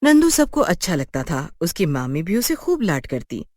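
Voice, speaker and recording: A woman's voice: on the low side, sing-song, normal speed, a little mellow, dry recording, studio quality. A woman narrating a scripted children's story.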